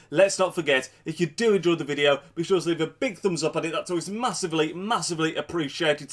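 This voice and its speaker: A young man with a blocked nose from a cold, talking through a football match preview in a chatty vlog, doubling up words for emphasis. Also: fairly high pitch. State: congested with a cold. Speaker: football fan vlogger.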